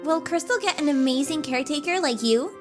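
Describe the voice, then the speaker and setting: Excitable, high-pitched little filly princess. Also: female voice, brisk. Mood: eager, confident. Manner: eager, childlike chatter. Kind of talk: animated cartoon audio drama acting. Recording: music behind the voice. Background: music.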